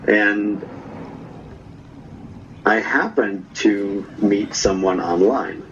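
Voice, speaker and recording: A man from Norway, telling his story as a guest in a relaxed, casual chat and tagging his lines with "right?". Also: lowish voice, drawn-out words, lo-fi sound, a little hiss or hum. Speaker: guest vlogger.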